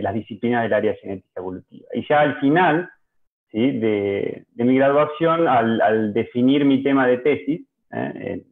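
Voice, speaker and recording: Argentine male panelist, mid-pitched, halting delivery, heard through a tinny phone-quality line. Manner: hesitant, many restarts and fillers. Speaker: panel speaker, geneticist. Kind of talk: video-call panel talk. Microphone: video call.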